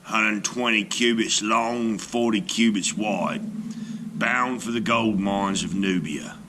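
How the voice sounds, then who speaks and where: A man narrating a folktale in the first person as a storyteller, in a deliberate, expressive, dramatic way. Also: lowish voice, noisy background. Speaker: storyteller reading aloud.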